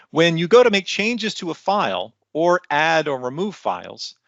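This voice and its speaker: A man explains technical material in an online conference presentation. His mid-pitched voice has a noticeable fry to it. He phrases things like an instructor, with light asides. The sound is close and dry, a little muffled and lo-fi. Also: a bit bright, no echo. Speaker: technical content developer presenting.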